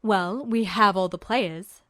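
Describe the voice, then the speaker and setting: A woman voicing a smug, authoritative male general in a deeper voice, measured and commanding, for a story reading. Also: sharp tone, no echo, clean studio sound. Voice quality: deeper put-on voice.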